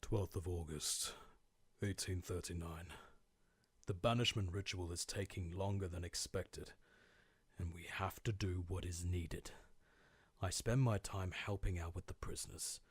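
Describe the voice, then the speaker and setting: Tormented, theatrical man, low and husky. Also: steady tone, a little slow, no room sound. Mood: grim, cold resolve. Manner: theatrical, formal old-fashioned diction, intense. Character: tormented guilt-ridden man, diarist turned torturer, descending into madness. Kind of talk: dramatic monologue, video game horror fandub.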